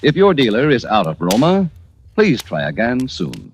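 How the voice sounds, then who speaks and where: Animated male 1940s radio pitchman. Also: moderate pace. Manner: polished, emphatic salesmanship. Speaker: commercial announcer. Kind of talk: 1940s radio advertisement read. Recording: hissy old radio recording.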